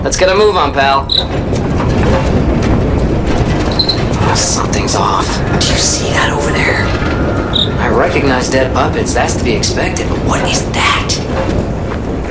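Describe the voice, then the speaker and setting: A small mechanical companion from a video game, a hammy and talkative guide. The pitch swings widely, the pacing is leisurely, and music plays behind the voice. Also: male voice. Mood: uneasy, puzzled. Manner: chatty, hammy showman.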